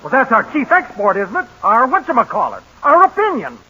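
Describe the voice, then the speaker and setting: An actor playing an excitable, salesmanlike small-town booster in a 1940s radio drama. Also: male voice, extremely high pitch, fairly quick, slightly rough, dull audio, heavily acted. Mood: eager. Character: boastful small-town man, eager booster. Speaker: town official.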